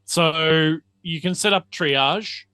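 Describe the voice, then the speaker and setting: A man narrating a tabletop roleplaying game as game master, haltingly piecing the scene together aloud. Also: on the high side, sharp tone, smooth, no room sound.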